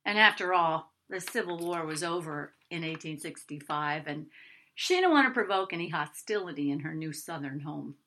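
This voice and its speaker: A woman narrates her own written short story with expressive, storytelling delivery. Her voice is bright and forward, with a very lively rise and fall. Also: low-pitched, normal speed, slight background noise.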